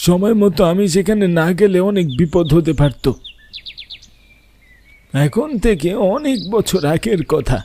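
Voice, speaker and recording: A man playing a solemn village market watchman who gravely recounts a legend in a horror cartoon. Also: sits high, lively intonation, a little slow, long pauses, mellow, slight background noise. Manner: grave, explanatory.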